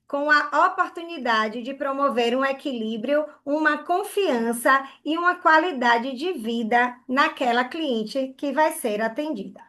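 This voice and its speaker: A woman's voice: sits high, bright, forceful tone. A woman from Bahia, giving an online hair care class in an earnest way and often checking in with "né?" and "tá?". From Bahia, Brazil.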